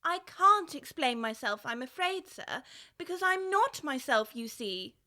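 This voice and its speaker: A woman's voice: high voice, very animated, measured pace, bright, forceful tone, heavily acted. A woman playing a polite, timid and puzzled little girl, hesitant and easily flustered, in a full-cast audiobook. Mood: shy, confused.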